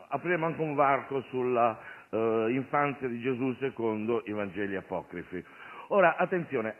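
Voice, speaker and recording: A male stage comedian addressing an audience in a conversational, digressive monologue with hesitant pauses. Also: mid-range voice. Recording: phone-like radio broadcast of stage show.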